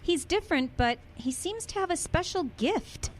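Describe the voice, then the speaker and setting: A woman's voice: extremely high pitch, expressive, moderate pace, a little hiss or hum. A woman playing a chatty small-town shopkeeper in a radio drama. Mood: puzzled.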